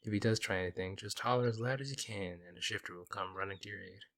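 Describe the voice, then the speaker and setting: A man's voice: on the low side, quick pace, vocal fry, a bit bright. A man playing a friendly, teasing, protective werewolf in an ASMR audio roleplay, talking casually and playfully to the listener. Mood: reassuring, protective.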